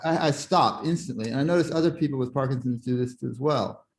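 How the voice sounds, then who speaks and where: A man joining a panel over a video call to ask a question, speaking in a halting way and searching for words. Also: medium pitch. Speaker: audience member asking a question.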